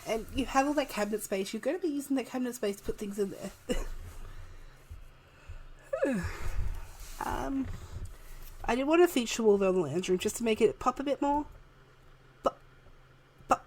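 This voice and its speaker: A woman, chatting softly and laughing often while narrating a game build, her voice a little worn. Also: mid-range voice, normal speed, pauses often, slight background noise, low-quality recording. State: losing her voice. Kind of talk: casual voice-over chat. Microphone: home desk microphone, moved closer partway.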